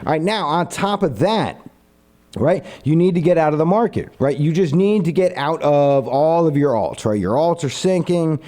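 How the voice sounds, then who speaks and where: Young male streamer, animated and rambling, somewhat high, mellow voice. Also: moderate pace. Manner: animated, rambling pitch of an idea to viewers; reads viewer comments aloud and answers them. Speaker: live streamer. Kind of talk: casual live stream chat.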